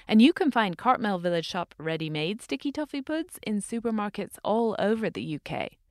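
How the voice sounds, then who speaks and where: A woman's voice: medium pitch, very animated, normal speed, darkish tone, dry recording. British woman as podcast host, wry scripted storytelling, dry close mic. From Britain. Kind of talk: scripted narration.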